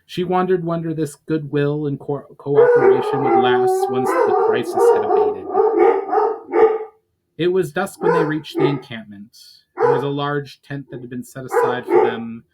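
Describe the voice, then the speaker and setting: A man's voice: on the high side, sing-song, measured pace, very noisy. A man giving a dramatic reading of a fantasy story as its narrator. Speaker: author reading a book chapter aloud.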